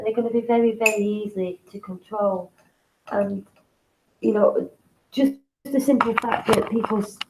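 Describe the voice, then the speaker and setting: A woman co-hosting a conversational show while nursing a cold, her voice a little bunged up and sniffly. Her tone is mellow and dark, with a gravelly creak at the edges. Faint background noise sits under the recording. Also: medium pitch, low-quality recording. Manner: thoughtful, halting with fillers. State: has a cold, sniffly and congested. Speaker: co-host. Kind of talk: casual conversational talk show.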